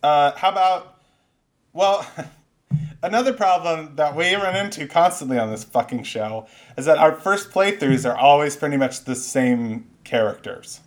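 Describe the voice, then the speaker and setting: A man's voice: on the high side, expressive, measured pace. A young man co-hosting a gaming podcast, joking, teasing his friend and swearing casually. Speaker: podcast co-host. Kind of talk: casual chat.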